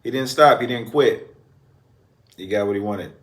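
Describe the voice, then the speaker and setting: A man's voice: lowish voice, moderate pace. A man giving casual, slangy reaction commentary in an amused, informal way. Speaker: reaction video host.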